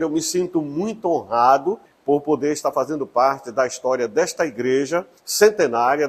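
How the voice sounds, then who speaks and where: A man who is a church pastor, giving an earnest personal testimony and pausing now and then with fillers. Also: a little high, husky.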